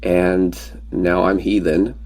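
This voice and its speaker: A man from Pennsylvania, a native Pennsylvania Dutch speaker, talking as a podcast guest. His voice is somewhat low for a man. He tells loose, self-mocking anecdotes and slips in jokes along the way. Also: flat delivery, takes it slowly, a little mellow. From Pennsylvania, USA. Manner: joking, rambling anecdotes with self-deprecating humor. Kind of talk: casual conversational storytelling.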